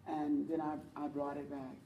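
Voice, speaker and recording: A woman with a low voice teaches a group about leading worship, earnest and encouraging as she draws her listeners in. She is heard from across the room, the sound roomy and carrying a faint hum of ambience. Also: monotone, moderate pace, far from the mic, dull audio. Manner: earnest, encouraging, speaks to the audience and invites replies. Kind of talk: church teaching talk.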